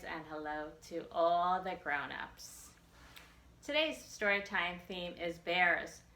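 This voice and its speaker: A woman's voice: lowish voice, sharp tone. A woman librarian leading a children's storytime, reading and reciting rhymes expressively in simple phrases for small children. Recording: echoey room.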